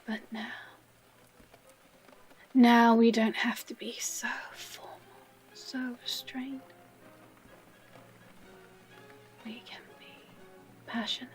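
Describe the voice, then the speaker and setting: A woman playing an obsessive, possessive librarian captor in a dramatic audio roleplay, breathy and often whispering, with theatrical pauses and a tenderly menacing manner. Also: fairly high pitch, level tone, soft background music. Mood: adoring, seductive.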